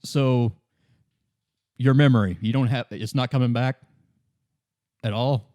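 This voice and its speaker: Man playing a calm, dry police detective. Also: mid-range voice, unhurried, no room sound, lightly acted. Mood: quietly concerned.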